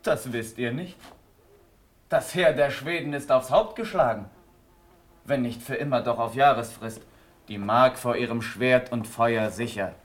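An actor playing a grave, impassioned cavalry officer, declaiming measured verse in a classical German radio drama. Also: male voice, medium pitch, close to an everyday voice. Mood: courteous, brisk.

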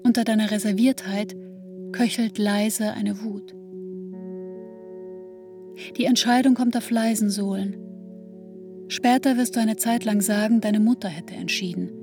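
A woman narrating a radio drama in a measured, restrained way, recounting painful memories intimately in the second person. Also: medium pitch, close to an everyday voice. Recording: dry studio with music underneath.

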